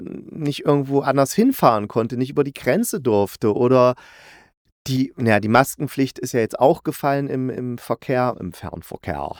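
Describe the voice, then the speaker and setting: A man with a medium-pitched voice hosts a podcast in a loose, conversational style. He is playful and jokey, correcting himself as he goes. The sound is close and dry. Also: no echo. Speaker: podcast host and audio drama reviewer. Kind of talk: casual, chatty solo review.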